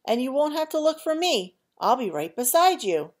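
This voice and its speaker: Chirpy little bird, bright and bouncy , lady reading. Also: sits high, sing-song, normal speed, voice pushed up. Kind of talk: storybook read-aloud.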